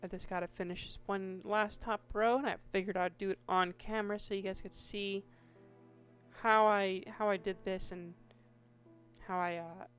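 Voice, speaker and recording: A woman with a hoarse voice giving casual, meandering commentary over her Minecraft gameplay, restarting sentences often. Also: medium pitch, fairly even intonation, soft background music. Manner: slow, meandering, with many restarts and fillers. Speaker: Minecraft YouTuber.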